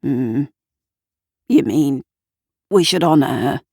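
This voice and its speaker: A woman's voice: extremely low pitch, expressive, takes it slowly, warm tone, husky, dry recording, studio quality, heavily acted. A woman voicing a grieving, fearful old man in a story, hesitant and plain-spoken. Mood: brooding. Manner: hesitant, plain-spoken, dialect turns of phrase.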